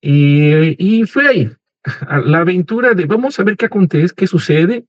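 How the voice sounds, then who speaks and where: A man in his forties from Lima with a Peruvian accent and some Portuguese-tinged wording. He is a professor giving an unhurried, conversational webinar talk, tagging his sentences with "¿no?" and "¿eh?". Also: high-pitched, animated, no room sound. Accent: Peruvian Spanish with Portuguese-tinged wording. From Peru, Lima. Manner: unhurried and conversational, with frequent "¿no?" and "¿eh?" tags. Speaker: professor, guest speaker. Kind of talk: webinar presentation. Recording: slightly muffled online call audio.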